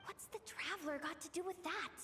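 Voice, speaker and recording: Super high, jittery gossipy game girl, dramatic and swoopy, music behind her. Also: fairly quick. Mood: disbelieving. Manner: excitable, conspiratorial, dramatic. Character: anxious, gossipy young female expo manager. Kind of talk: video game voice acting.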